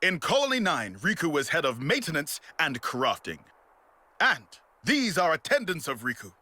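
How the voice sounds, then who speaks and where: A man's voice: on the high side, huge pitch swings, moderate pace, pushed and bright, no echo, heavily acted. A man voicing a small, proud craftsman creature in a video game, speaking of itself in the third person. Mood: self-important.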